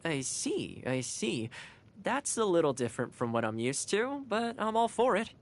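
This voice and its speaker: A woman's voice: on the low side, sing-song, moderate pace. A woman voicing a cheerful, caring young male housekeeper in a video game, friendly and earnest. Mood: friendly, chatty. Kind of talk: video game character voice lines.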